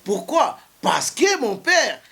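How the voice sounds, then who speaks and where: Male TV guest, high and very animated, insistent and repetitive. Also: takes it slowly, vocal fry. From Democratic Republic of the Congo. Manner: insistent, repeats himself to hold the floor. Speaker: studio guest. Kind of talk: heated debate on a TV talk show.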